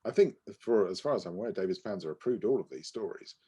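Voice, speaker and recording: Low-voiced man chatting on a podcast. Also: animated, quick pace, mellow, breathy edge, lo-fi sound. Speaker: podcast co-host. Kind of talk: casual review discussion.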